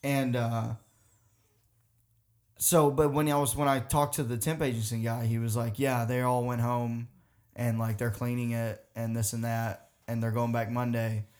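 A man telling a story in casual chat, rambling with lots of fillers and getting loud and heated. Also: medium pitch, level tone, moderate pace.

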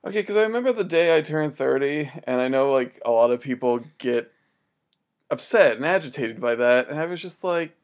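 A man's voice: medium pitch, expressive, fairly quick. A man in his thirties co-hosting a podcast, talking in an easygoing, laid-back way with joking asides. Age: 30s. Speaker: podcast co-host. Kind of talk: casual podcast chat.

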